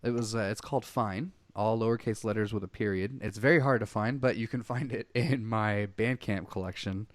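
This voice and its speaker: Male show host, low voice, dry close sound, rambling casual chat. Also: brisk, few pauses, no room sound. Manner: chatty, rambling with many fillers. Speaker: podcast host. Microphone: headphones on a call.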